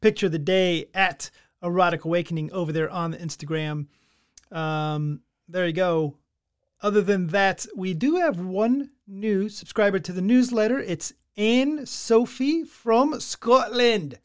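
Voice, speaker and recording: A man's voice: high-pitched, unhurried, clear, resonant tone. A middle-aged man co-hosting a podcast in casual, crude, joking banter with many restarts. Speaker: podcast co-host.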